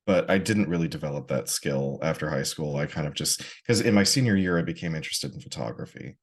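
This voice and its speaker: A man co-hosting a podcast, chatting in a relaxed, casual way. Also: very deep voice, fast. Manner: relaxed, casual, lots of "like" fillers. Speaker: podcast co-host.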